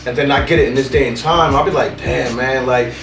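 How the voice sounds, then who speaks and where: A man's voice: mid-range voice, a little fast, gravelly, soft background music. Laid-back man hosting, echoey and distant. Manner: laid-back street slang, shout-outs. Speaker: host. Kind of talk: casual interview chat.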